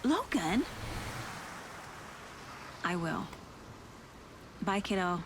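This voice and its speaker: Over clear background noise, a composed female investigator speaks in a lowish voice. Her delivery is gentle and natural, like dialogue in a cinematic game. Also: sing-song, drawn-out words, full character voice. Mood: affectionate. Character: composed adult female federal investigator and affectionate mother. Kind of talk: naturalistic video game acting. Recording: noisy ambience behind the voice.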